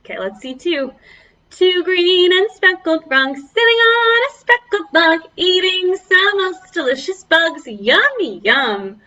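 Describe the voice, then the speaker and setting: High, bright-voiced woman hosting preschool storytime. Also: expressive, slow, muffled recording, faint music behind. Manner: encouraging, exaggerated child-directed delivery. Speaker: children's librarian and storytime host. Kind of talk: preschool storytime, talking and singing to young children.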